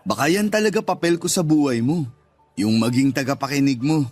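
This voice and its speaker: A man with a Filipino accent playing a loyal, soft-hearted friend in a radio drama, talking earnestly. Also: mid-range voice, lively intonation, brisk, faint music behind, lightly acted. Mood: gentle, resigned.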